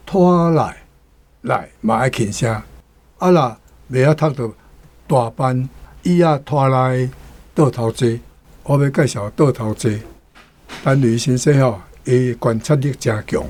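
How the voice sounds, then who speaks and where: A man's voice: mid-range voice, darkish tone, husky. A male guest commentator who rereads lines from a novel and explains them. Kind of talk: explaining a passage from a book.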